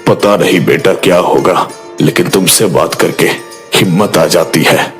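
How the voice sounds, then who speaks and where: A man playing an earnest village headman and father of a bride in an animated moral story. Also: low voice, measured pace, soft background music. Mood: worried.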